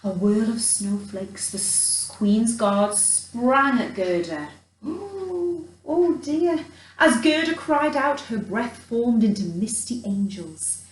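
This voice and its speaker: A woman reading a storybook to children in a theatrical, expressive way, adding chatty asides. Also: mid-range voice, takes it slowly, hardly any pauses. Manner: theatrical, dramatic emphasis, chatty asides to young listeners. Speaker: children's storyteller in character as a Christmas elf. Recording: roomy echo, distant from the mic.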